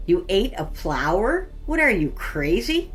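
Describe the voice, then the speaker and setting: A woman's voice: medium pitch, big pitch swings, unhurried, faint music behind. A woman voicing an annoyed teenage girl, blunt and incredulous, in a story reading.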